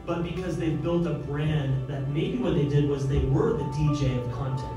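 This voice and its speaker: Panelist, thirties, energetic and emphatic; handheld mic in a very echoey room, distant pickup. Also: male voice, a little high. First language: English. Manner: fired-up, emphatic, talks with his hands. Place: large office event space, indoors. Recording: roomy, from afar, with music.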